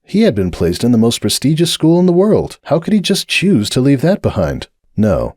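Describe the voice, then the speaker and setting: A man narrating a dramatic audiobook reading in an expressive storytelling manner. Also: breathy edge, dry recording, clean studio sound. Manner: expressive storytelling with shifting pitch.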